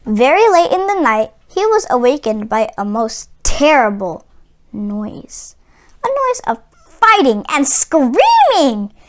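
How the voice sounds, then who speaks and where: Very high-pitched, animated woman reading a storybook. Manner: dramatic, with drawn-out words for emphasis. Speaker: children's read-aloud host. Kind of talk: expressive storybook read-aloud for children.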